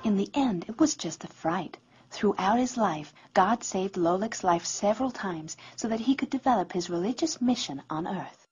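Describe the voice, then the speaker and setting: A woman's voice: medium pitch, lively intonation, normal speed, slight background noise. A woman reading scripted narration in a storybook biography style. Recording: dull sound, loud music behind.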